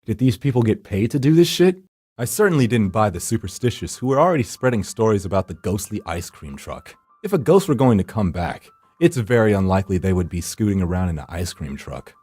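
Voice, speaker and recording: A man reading a visual novel story as dramatic narration, in a brooding, dry and deliberate manner. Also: fairly low pitch, a little mellow, husky, dry recording. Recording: music behind the voice. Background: background music.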